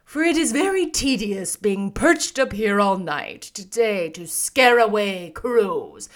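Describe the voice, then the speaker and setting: A woman voicing a friendly, naive male scarecrow in a storybook, husky, with loud, drawn-out lines. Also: mid-range voice, animated. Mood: polite complaint. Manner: loud, drawn-out, exclaiming delivery.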